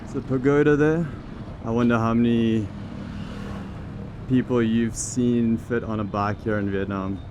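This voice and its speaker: A man's voice: medium pitch, unhurried, pauses often, dull audio. A man talking casually and thinking aloud as he rides a motorbike through city traffic outdoors. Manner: relaxed, chatty, thinking aloud with restarts. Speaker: vlogger and teaching program founder. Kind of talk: casual talk to camera while riding. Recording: traffic noise behind.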